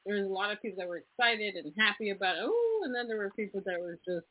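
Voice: mid-range voice, big pitch swings, fast, no echo. A podcast host talking casually with a guest, chatty and opinionated, telling stories with animation. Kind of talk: casual interview chat. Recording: tinny phone-like line, dry.

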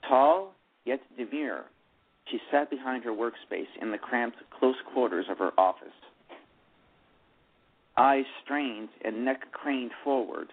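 A man narrating a radio drama in a slow, deliberate way. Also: mid-range voice, very animated, vocal fry, slightly rough. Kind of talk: scripted narration. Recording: tinny phone-line radio audio.

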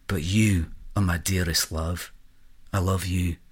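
Man acting a slow, low-voiced lovesick guard. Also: fairly even intonation, slightly rough, exaggerated voice. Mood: dreamy, adoring. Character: lovesick entranced male guard, dreamy and obedient. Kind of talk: audio drama acting.

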